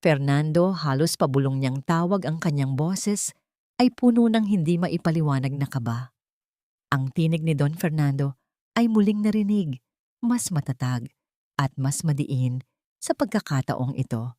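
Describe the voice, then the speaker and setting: Lady narrator, kinda low voice, dry sound, solemn dramatic storytelling. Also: lively intonation, measured pace, warm tone, dry recording.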